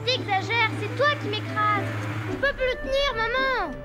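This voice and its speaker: Very high, childlike cartoon elephant kid. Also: female voice, moderate pace, loud music behind the voice. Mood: whiny. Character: plaintive, chatty little elephant children. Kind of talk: dubbed children's cartoon acting.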